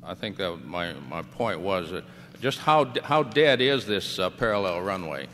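A man from the audience asking a question at a public hearing, in a halting manner that trails off. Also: deep voice, lively intonation, fairly bright tone. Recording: echoey hall with faint hum.

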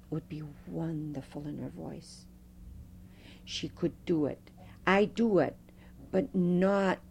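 A middle-aged woman, a Fado singer, telling stories with a strong, loud voice in an interview. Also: low voice. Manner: animated storyteller, strong loud voice. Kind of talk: oral history interview.

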